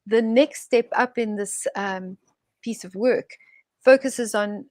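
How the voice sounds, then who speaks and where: A woman's voice: mid-range voice, slow, darkish tone, no room sound. A woman guest on an online talk show, speaking in a thoughtful, expansive way with frequent restarts. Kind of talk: reflective online conversation. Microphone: video call.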